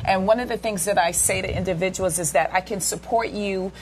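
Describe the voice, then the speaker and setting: A woman's voice: medium pitch, brisk, a little hiss or hum, low-quality recording. A woman in her forties, a guest therapist, explaining things in an interview and checking in with "right?" and "okay?". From United States. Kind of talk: interview talk.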